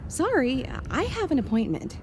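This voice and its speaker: A woman's voice: high-pitched, sing-song, moderate pace, background noise. A young woman playing a polite, hesitant, apologetic intern in an audio drama.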